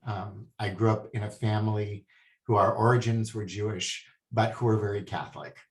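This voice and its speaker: A man from Hawaii, a guest speaking about healing in a soft, hesitant way with many pauses. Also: on the low side, little pitch movement. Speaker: guest, spiritual healer. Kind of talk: casual interview talk. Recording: echoey room.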